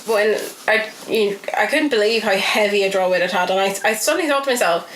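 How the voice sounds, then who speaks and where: A woman telling casual anecdotes as a podcast guest, chatty and animated. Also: medium pitch, a little fast. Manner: chatty, animated, giggly delivery with quoted asides. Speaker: podcast guest, actor and film extra. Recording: echoey room, faint background noise.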